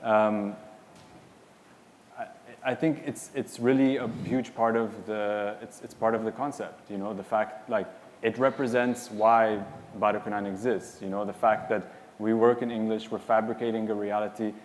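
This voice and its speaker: Low-voiced guy on a panel, rambly with lots of you-knows, echoey hall, bit of hiss. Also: steady tone, normal speed. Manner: loose, full of "you know" and "like" fillers. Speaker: panelist and publisher. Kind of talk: casual spoken answer on a panel. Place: hall at a symposium. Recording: long reverb in a hall, faint noise.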